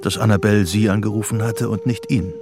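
A man playing a composed radio drama narrator with a lowish, gravelly voice. He reads literary thriller prose in a sober, measured way over faint music. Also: steady tone, natural voice. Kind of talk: scripted narration in a German radio play.

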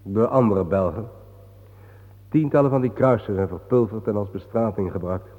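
An actor playing a grave, world-weary man guiding his friend through war cemeteries in a solemn, measured, sardonic radio-play manner. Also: on the low side, lightly acted. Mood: somber. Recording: muffled phone-like old radio recording, reverberant.

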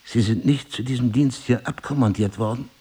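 An actor playing a wry, disoriented husband with amnesia, musing and articulate, in a German radio play. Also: male voice, medium pitch, a little fast, dark tone, husky. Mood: bewildered.

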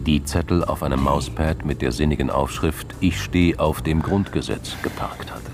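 A man with a gravelly voice narrating a scripted crime drama in a studio. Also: very deep voice, moderate pace. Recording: music behind.

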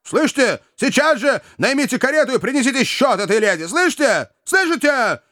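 Storybook elderly gentleman, acted by a man: domineering, irate, blustering, with big swoops of pitch. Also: lively intonation, slow pace, no room sound, clean studio sound. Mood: furious. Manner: indignant, commanding, repetitive.

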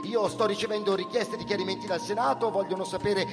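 A flustered, anxious official with a high voice, stammering through a radio drama. Long hall reverb surrounds him and music plays behind. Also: male voice, steady tone, fast. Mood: agitated, confused. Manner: hesitant, stammering, agitated. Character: flustered, anxious male official hounding a colleague for answers. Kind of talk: dramatic radio play acting.

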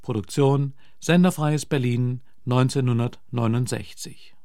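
A man's voice: medium pitch, a little slow, warm tone, no echo, studio quality. A man reading credits as a formal radio announcer in 1960s broadcast style.

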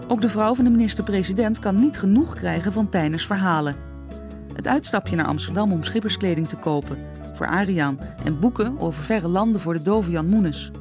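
A narrator reading scripted introductions for a radio drama. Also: mid-range voice. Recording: music under the voice. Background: music.

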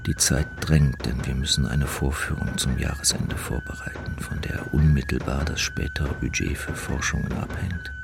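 A man playing a brooding composer who reads his work journal in a measured, deliberate, literary way for a radio play. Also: extremely low pitch, wildly swooping pitch, vocal fry, full character voice. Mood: matter-of-fact. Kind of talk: scripted radio play narration, diary reading. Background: loud experimental music.

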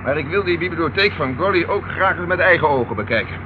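An actor playing a brisk, wry, commanding police inspector in an old radio drama. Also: male voice, fairly high pitch, animated, lightly acted. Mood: musing. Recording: dull, noisy old radio recording.

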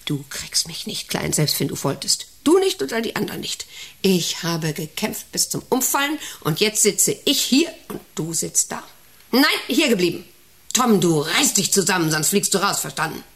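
An actress in a satirical radio play playing an eccentric, domineering, volatile company director, theatrical and commanding. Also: female voice, medium pitch, normal speed. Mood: menacing. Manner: commanding, theatrical, swinging from hushed menace to excited orders. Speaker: radio drama actor.

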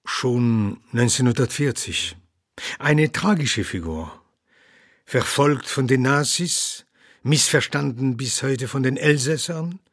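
An actor playing an elderly, learned doctor and musician in a radio interview, speaking formally and deliberately with a husky voice. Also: male voice, medium pitch, fairly bright tone, no echo, studio quality, full character voice.

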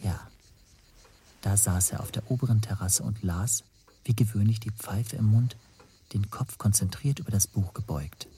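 An actor playing an anxious, self-doubting man who narrates his own story in a breathy, brooding hush. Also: lowish voice, moderate pace, slight background noise, lightly acted. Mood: tense hesitation. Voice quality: husky, breathy, often near whisper. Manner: brooding, introspective, hushed. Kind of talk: first-person radio play narration.

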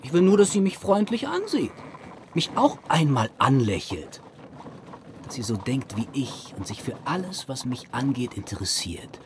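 Conceited young gentleman with animated delivery. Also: mid-range voice, normal speed, a little hiss or hum. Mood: musing, self-assured. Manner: self-assured, theatrical, playful phrasing. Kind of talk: period literary radio drama.

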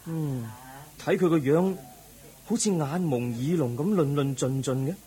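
A man's voice: fairly high pitch, dark tone, husky. A man acting an earnest, troubled young prince in a Cantonese radio drama, full of wondering questions. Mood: puzzled, curious. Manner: inquisitive, wondering, drawn-out questioning.

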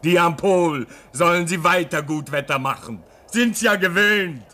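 A man's voice: on the high side, measured pace, sharp tone. An actor in a German radio play, playing an embittered, homesick man stranded in the Arctic, brooding and anguished. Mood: bitter, agitated. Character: embittered, homesick husband stranded at a polar station.

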